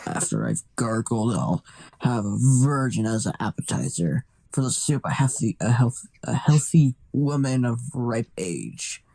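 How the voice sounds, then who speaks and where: A man voicing a monstrous, bloodthirsty bat devil with a slow, theatrical delivery in an anime fan dub. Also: mid-range voice, sing-song, vocal fry, warm tone, full character voice. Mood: disgusted, hungry.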